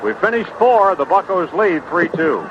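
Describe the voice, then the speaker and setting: American male baseball play-by-play man, fairly high voice, muffled vintage radio sound under heavy noise. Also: lively intonation, moderate pace. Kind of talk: live radio play-by-play commentary. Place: ballpark broadcast booth. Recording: dull, very noisy 1970s radio broadcast. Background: loud stadium ambience and noise.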